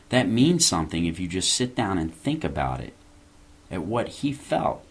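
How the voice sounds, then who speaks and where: A man's voice: low voice, slightly rough. A man hosting a show, preaching an earnest evangelistic message and urging listeners to turn to God. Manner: earnest, exhorting, rising on key phrases. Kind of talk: evangelistic sermon.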